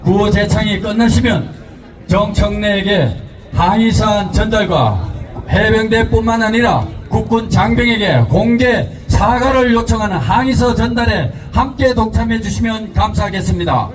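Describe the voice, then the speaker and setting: A man emceeing an outdoor protest rally, making formal announcements and shouting cues to the crowd. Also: high-pitched, expressive, moderate pace. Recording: distant PA voice with loud crowd and music.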